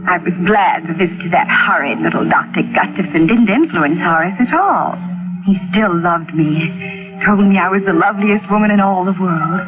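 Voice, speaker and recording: An actress playing a vain, anxious middle-aged wife in an old-time radio mystery, speaking in a dramatic, confiding way. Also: female voice, lowish voice, normal speed, lightly acted. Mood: pleased, vain. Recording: tinny vintage radio recording with music.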